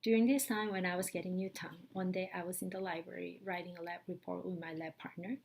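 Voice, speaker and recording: Gravelly middle-aged woman. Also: fairly low pitch, darkish tone. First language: Korean. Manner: hesitant, earnest, many fillers. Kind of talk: personal testimony.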